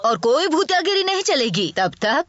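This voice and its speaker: A woman's voice: high-pitched, sing-song, normal speed, dry recording. A woman playing a strict, scolding grandmother in a Hindi kids' cartoon, commanding and dramatic. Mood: bossy, scolding. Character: strict, bossy grandmother, a drill-sergeant granny. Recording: muffled audio under loud music.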